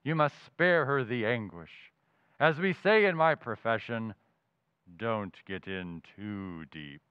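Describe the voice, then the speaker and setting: An actor playing a morbidly genteel undertaker in old-time radio comedy, with grandiose, drawn-out funereal delivery. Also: male voice, on the low side, animated, clear, resonant tone, highs cut off. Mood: grave, advising.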